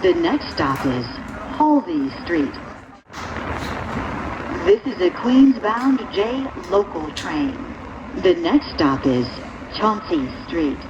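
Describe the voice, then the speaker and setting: A woman reading formal, scripted subway announcements in deliberate phrases over a train's public-address speaker. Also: big pitch swings. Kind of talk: recorded transit announcements, scripted. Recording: dull, noisy recording inside moving train. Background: rumbling train noise.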